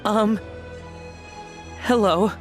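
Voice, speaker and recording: Meek, hesitant young underling. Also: male voice, high voice, extremely slow, loud music behind the voice. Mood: nervous, apologetic. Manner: hesitant, pleading. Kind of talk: video game voice acting.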